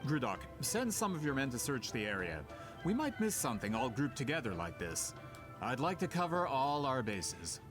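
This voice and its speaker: A man's voice: mid-range voice, big pitch swings, normal speed, natural voice. A man playing a driven, polite expedition leader in a video game, composed and used to giving orders. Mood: composed, purposeful. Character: smooth-talking, driven male leader of a research expedition. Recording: prominent game music behind voice.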